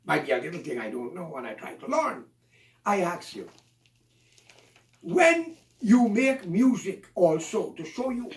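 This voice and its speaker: A man delivering an impassioned, accusatory monologue, ranting and preaching at his listeners. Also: high voice. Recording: roomy echo, voice from a distance.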